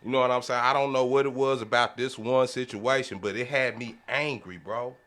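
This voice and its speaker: A man's voice: medium pitch, steady tone, a little fast, fairly bright tone. A man on a podcast panel talking casually and emphatically, in slangy, repetitive phrasing. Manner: emphatic, colloquial, repeats himself. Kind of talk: casual heated discussion.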